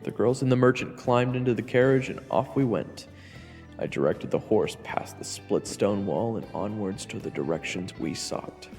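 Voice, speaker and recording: A man plays a weary fantasy adventurer narrating his story. His voice is low and creaky, washed in long reverb with music underneath. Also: moderate pace, a little mellow, lightly acted. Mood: weary, reflective. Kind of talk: scripted first-person story narration. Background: background music.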